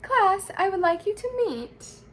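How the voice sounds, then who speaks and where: A woman's voice: very high-pitched, very animated, a little slow, fairly bright tone, slight background noise, pitched above the performer's own voice, full character voice. A woman voicing a gushing, bustling, commanding female school principal in a children's storybook read-aloud. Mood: loud, announcing.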